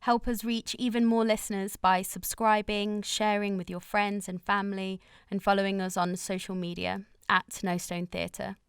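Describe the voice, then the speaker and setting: A woman's voice: medium pitch, little pitch movement, moderate pace, hardly any pauses, clean tone, no echo. A woman reading a scripted promotional outro as host for a theatre company.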